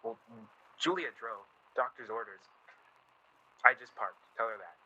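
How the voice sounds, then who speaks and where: An actor playing an earnest, exasperated young man in a naturalistic radio play, halting and defensive as he talks. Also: medium pitch, very animated, bright, forceful tone, slightly rough, muffled recording, exaggerated voice. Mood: weary, defensive. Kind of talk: radio play dialogue, naturalistic period drama.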